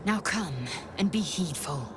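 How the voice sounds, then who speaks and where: A haughty female alien warrior from a fantasy game, with a low and measured delivery. Her speech is formal and commanding, with little patience. Loud ambient noise sits behind her. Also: lively intonation. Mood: scornful, commanding. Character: stern, imperious female githyanki warrior, impatient and haughty. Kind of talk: video game character acting.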